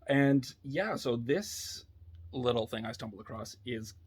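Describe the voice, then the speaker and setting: A man giving a casual, unscripted technical demo in a laid-back, hesitant way, thinking aloud, with a husky, slightly gravelly voice. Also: medium pitch, lively intonation, measured pace. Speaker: electronics builder demonstrating a device.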